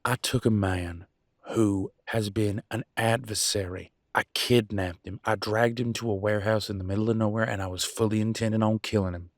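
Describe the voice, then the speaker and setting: A man playing a conflicted werewolf pack alpha in an audio roleplay, arguing emphatically in colloquial, sweary speech with dramatic pauses. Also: fairly low pitch, fairly even intonation, dry recording, studio quality, close to an everyday voice. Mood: insistent. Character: Damien, conflicted male werewolf pack alpha, defiant and remorseful. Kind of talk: scripted audio roleplay, one side of an argument.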